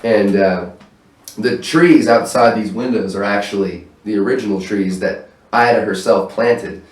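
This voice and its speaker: A man's voice: lowish voice, unhurried. A man talking loosely in a casual interview, swearing freely and laughing often, in his own apartment room. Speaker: stage manager interviewed as guest. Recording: roomy echo, voice far from mic.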